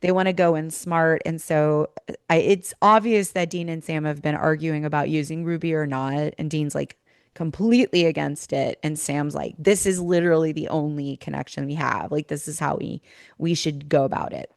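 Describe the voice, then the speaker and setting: Low, clear-voiced woman chatting on a podcast. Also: steady tone, normal speed, no echo, clean studio sound. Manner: chatty, informal, peppered with like. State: losing voice from teaching. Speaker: podcast host; school teacher. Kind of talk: casual chat and informal episode recap.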